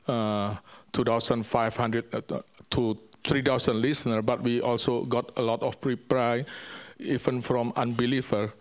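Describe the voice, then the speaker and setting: A man from Indonesia with an Indonesian accent, a missionary preacher addressing a church in halting, simple non-native English. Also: medium pitch. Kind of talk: church sermon and ministry update.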